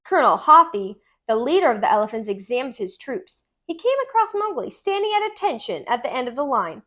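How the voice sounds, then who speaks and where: A woman narrating a children's storybook aloud in an expressive, deliberate storytelling manner. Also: a little high. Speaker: tutor reading aloud.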